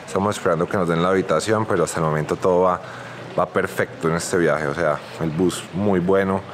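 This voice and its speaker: A man's voice: sits low, steady tone, brisk. A young man filming himself at arm's length as a travel vlogger, talking casually and cheerfully about his trip. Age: young adult. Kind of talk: casual travel vlog to camera. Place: city streets and a bus terminal, mostly outdoors. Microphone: camera held at arm's length. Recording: loud urban street noise behind.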